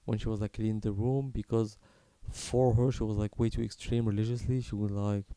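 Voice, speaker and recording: A man in his twenties from Morocco tells a personal story unscripted, in a halting, candid, casually sweary way. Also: on the low side, flat delivery, mellow, clean tone, no room sound. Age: 20s. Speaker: video creator telling his life story.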